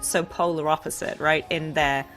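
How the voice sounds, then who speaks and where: A woman's voice: sits low, steady tone, moderate pace, a bit bright, faint music behind. A woman hosting a conversational interview, reflective and thinking aloud through long questions. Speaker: interview host and fellow voice actor.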